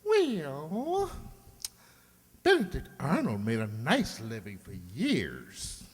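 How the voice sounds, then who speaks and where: A woman's voice: low-pitched, huge pitch swings, takes it slowly, breathy edge, big-room sound, full character voice. A woman playing a fretful, hesitant beautician with a stammering, theatrical dismay in old-time radio comedy style. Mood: resigned. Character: fretful beautician Antoine, reluctantly disloyal.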